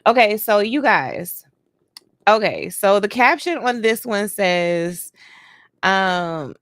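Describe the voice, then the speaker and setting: A woman's voice: medium pitch, lively intonation, slow pace, fairly bright tone, no room sound. A woman from Detroit who grew up in Germany, chatting casually to her viewers with lots of "you know" and "right". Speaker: reaction vlogger. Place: home, doing a facial on camera.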